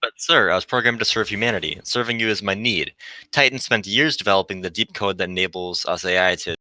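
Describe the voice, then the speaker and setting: A man's voice: on the low side, fast, bright, forceful tone, dry recording, poor audio. A man voicing an earnest, polite female AI hologram who serves humans in a visual novel. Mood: puzzled, timid.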